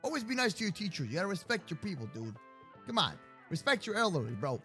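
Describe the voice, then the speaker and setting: A man improvising game roleplay commentary, animated and slangy, reacting aloud as he goes. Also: high-pitched, fast talker, music in the background. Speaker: gaming video host.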